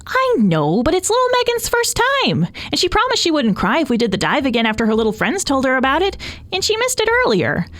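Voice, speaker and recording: A woman's voice: sits high, wildly swooping pitch, brisk, fairly bright tone, voice pushed up, full character voice. A woman voicing a jolly, eager sun animatronic with a bouncy, pleading way of talking. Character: cheerful, eager male sun animatronic, jolly childcare robot.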